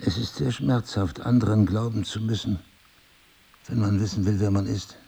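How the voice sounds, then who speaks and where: An actor playing a bewildered, skeptical husband with amnesia, wryly self-mocking and probing in a naturalistic relationship drama. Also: male voice, on the low side, steady tone, normal speed, creaky, warm tone, husky, full character voice. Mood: vulnerable. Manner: probing, sardonic, questioning. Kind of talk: two-hander relationship drama acting, naturalistic.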